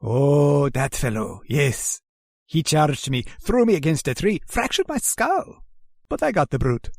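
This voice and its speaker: An aristocratic general in a radio thriller, courtly and theatrical. His gravelly voice swings widely in pitch as he savors each formal phrase with menace, in a very dry, close recording. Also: big pitch swings, measured pace, a little mellow, no room sound, heavily acted. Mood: sinister relish. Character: genteel, menacing aristocratic male general, obsessed hunter. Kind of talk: old-time radio drama acting.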